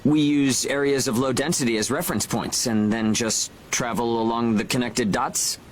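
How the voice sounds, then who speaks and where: A man's voice: medium pitch, fairly even intonation, moderate pace, a little hiss or hum, lightly acted. An actor playing a cocky, pragmatic young male mercenary in a video game, talking in a casual, blunt, self-assured way. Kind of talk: video game cutscene acting. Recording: game music behind the voice.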